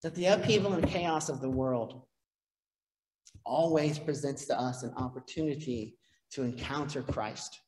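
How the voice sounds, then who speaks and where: A man's voice: medium pitch, a little slow, darkish tone. A middle-aged man, a priest, giving a conversational sermon from the pulpit with self-deprecating asides. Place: church sanctuary, behind a pulpit. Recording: long church reverb.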